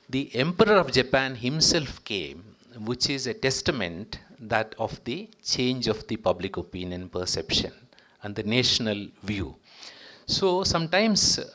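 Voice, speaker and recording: A man from India with an Indian accent delivers a public speech as a guest diplomat. His mid-pitched voice is formal and emphatic. He sets out his points in short, deliberate phrases, as one addressing a gathering of professionals. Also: a bit bright.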